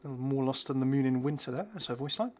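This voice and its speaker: A man's voice: mid-range voice, animated, racing, slight background noise. A man hosting a gaming video, talking through game cosmetics with casual, enthusiastic, rambling reactions.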